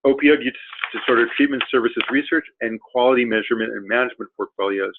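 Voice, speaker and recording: A man's voice: medium pitch, husky. Man introducing speakers over a tinny line. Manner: halting, many "uh" fillers and restarts. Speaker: webinar host. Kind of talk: hesitant spoken introduction. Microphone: conference call. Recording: tinny phone-line audio.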